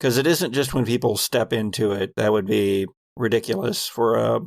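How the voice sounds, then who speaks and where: A man with a creaky, gravel-edged voice runs a horror tabletop game as game master. He speaks conversationally and paints scenes in descriptive detail, recorded close and dry. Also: medium pitch, moderate pace, darkish tone, no echo. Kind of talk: narrating a tabletop horror role-playing game.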